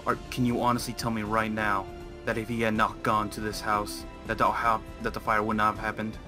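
A man's voice: fairly low pitch, monotone, brisk, music in the background, close to an everyday voice. A man acting an insistent, defensive werewolf pack leader in an amateur game roleplay. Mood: accusing, insistent.

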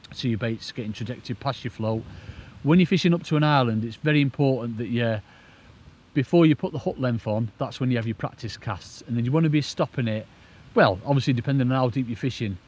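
A man's voice: medium pitch, a little fast, darkish tone, husky. A man with a British accent giving informal, enthusiastic, rambling instructional chat as an angling presenter. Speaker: angling presenter demonstrating a rig. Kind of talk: casual instructional chat to camera.

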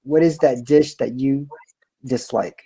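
Man in his 30s, webinar host on a home video call, mellow tone, upbeat and chatty. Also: mid-range voice, steady tone, slow pace, creaky, slightly rough. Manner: upbeat, loose, many "uh" fillers. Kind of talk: casual webinar hosting. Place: home room, indoors.

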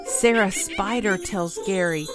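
A woman reading a rhyming children's picture book aloud in an expressive, deliberate storytelling manner. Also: medium pitch. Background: music behind the voice.

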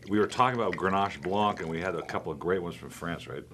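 Deep-voiced man hosting a wine tasting. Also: fast talker, noisy background. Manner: enthusiastic, teasing, rambling. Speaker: wine shop owner and show host. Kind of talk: casual wine tasting banter. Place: wine shop behind a tasting counter. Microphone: overhead boom mic.

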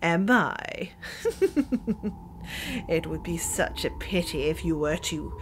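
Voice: mid-range voice, steady tone, slow, a bit bright, a little hiss or hum. An actor playing a gloating, menacing empress of the underworld in an amateur audio drama, drawing out each taunt. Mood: gloating menace. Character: Lilith, gloating evil female underworld empress.

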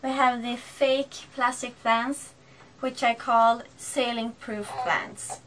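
A woman in her thirties from Sweden with a Swedish accent, chatting casually in a vlog and explaining things slowly with many fillers. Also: fairly high pitch, little pitch movement, fairly bright tone, slight background noise, low-quality recording. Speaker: sailing vlogger. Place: shops, dock and wooden boat cabin.

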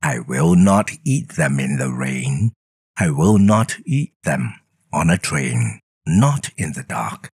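A man's voice: very deep voice, very animated, takes it slowly, darkish tone, husky, no room sound, clean studio sound, voice pushed down, heavily acted. A man voicing a stubborn, grumpy storybook creature with emphatic, grumbling refusals. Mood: exasperated. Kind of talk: storybook character voice.

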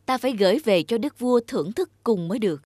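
A woman's voice: on the high side, lively intonation, fast, a little mellow, no echo, studio quality. Woman as an earnest, steadfast young father. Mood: hopeful. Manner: earnest, respectful. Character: humble, steadfast young husband and father, hopeful. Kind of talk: cartoon fairy tale character voice.

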